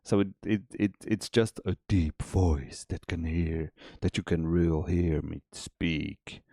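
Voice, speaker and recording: A man with a cold, his voice extra deep, chatting easygoing and rambling with many restarts while streaming game development. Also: steady tone, slow pace, creaky, mellow, no echo, studio quality. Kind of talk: casual chat on a dev stream.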